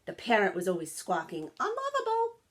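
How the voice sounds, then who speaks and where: A woman reads a children's story aloud at an unhurried, slow pace. She is expressive and sympathetic, with simple asides for young listeners. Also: mid-range voice. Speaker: teacher reading a picture book aloud.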